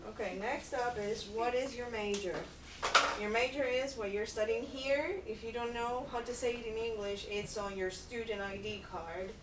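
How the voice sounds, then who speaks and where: A woman teaching English to a class, speaking slowly and patiently and repeating phrases for learners. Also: on the high side, fairly even intonation. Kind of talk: classroom instruction. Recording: echoey room, far mic, noisy.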